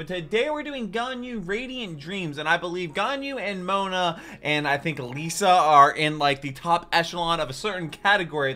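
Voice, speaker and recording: A man's voice: a little high, pushed and bright. A man, giving chatty, informal reaction commentary. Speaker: reaction video host. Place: small home room, indoors. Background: faint music.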